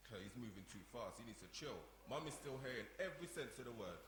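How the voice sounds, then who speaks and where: A man's voice: medium pitch, lively intonation, fast talker, fairly bright tone, natural voice. A man playing a laid-back, evasive brother in a radio play, casual, slangy and placating. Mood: unbothered. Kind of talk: live-performed radio play. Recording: echoey room, faint noise.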